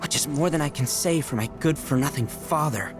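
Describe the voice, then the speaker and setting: A man's voice: on the high side, brisk, music in the background, lightly acted. A man voicing a boyish, proud teenage beast in a video game, eager and earnest. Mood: proud, indignant.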